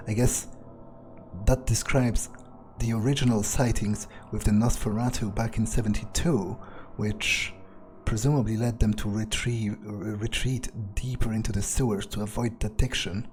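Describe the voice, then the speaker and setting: A man giving in-character gameplay commentary, thinking aloud in a musing, hesitant way with pauses mid-phrase. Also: lowish voice, fairly even intonation, darkish tone. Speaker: gamer doing an in-character playthrough. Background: game music.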